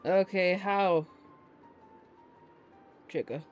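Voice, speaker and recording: High-voiced man streaming a game. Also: drawn-out words. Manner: rambling, incredulous, bantering with viewers. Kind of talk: casual live gameplay commentary. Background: faint game music.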